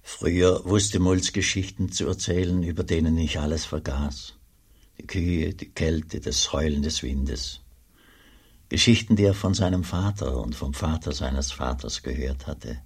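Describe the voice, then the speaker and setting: An old, pious monk narrating a radio play about his youth. The voice is extremely low and heavily husky. He tells his story slowly and solemnly, in measured literary phrases. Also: exaggerated voice. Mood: wistful. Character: aging pious monk recalling his poor mountain boyhood.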